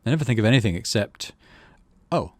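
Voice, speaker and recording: A man's voice: mid-range voice, expressive, brisk, a little mellow, dry recording, natural voice. Man acting a bitter, defiant young loner. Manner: grumbling, snapping, self-deprecating.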